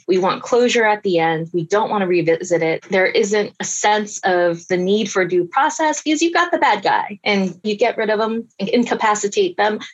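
Earnest woman giving reflective answers. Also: mid-range voice. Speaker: forensic science researcher, guest. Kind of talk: thoughtful interview answers.

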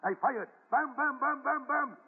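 A man's voice: high-pitched, expressive, normal speed, slightly rough, faint music behind. An actor playing a boastful, tender old grandfather who tells tall tales with theatrical relish, in 1940s radio acting style. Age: older. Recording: tinny old radio broadcast with reverb.

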